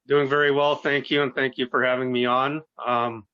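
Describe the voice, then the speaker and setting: A man in his early thirties, a native Russian speaker raised in the United States, giving an earnest, halting personal account as an interview guest on a lo-fi recording. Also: medium pitch, level tone, bright, forceful tone. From born in Russia, grew up in the United States. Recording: dull, lo-fi voice recording.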